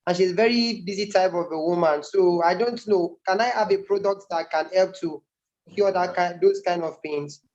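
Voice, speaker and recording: A caller on a video call asking a halting question about a relative. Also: mid-range voice, a little mellow, muffled recording. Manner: hesitant, halting.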